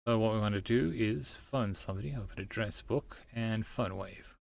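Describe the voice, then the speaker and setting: A man's voice: fairly low pitch, fairly quick, vocal fry, a little mellow, no room sound. A man reading a visual novel aloud as he plays, with casual muttered asides. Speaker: narrator and game player.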